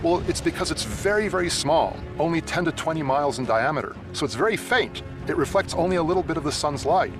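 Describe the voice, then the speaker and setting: A man's voice: fairly high pitch, lively intonation, fast talker, few pauses. A man explaining things didactically, as an expert answering questions in a documentary. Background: background music.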